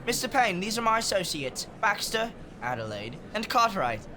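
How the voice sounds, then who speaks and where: An actor playing an earnest young street urchin who leads a gang of child detectives, speaking urgently. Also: male voice, high-pitched, big pitch swings, moderate pace, pushed and bright, exaggerated voice. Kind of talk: audio drama acting.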